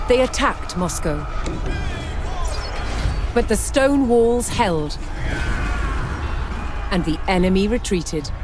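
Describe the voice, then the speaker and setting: A woman delivering scripted historical narration for a strategy game, in a measured storytelling manner. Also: mid-range voice. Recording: music behind the voice. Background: background music.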